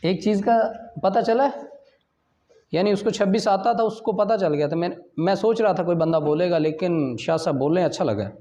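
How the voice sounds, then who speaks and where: A man's voice: high-pitched, normal speed, dark tone. A man with a Pakistani accent teaching law in a classroom lecture style, explaining point by point and mixing in English terms. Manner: explanatory, checks in with short questions, switches between English and Urdu. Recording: dull, lo-fi audio.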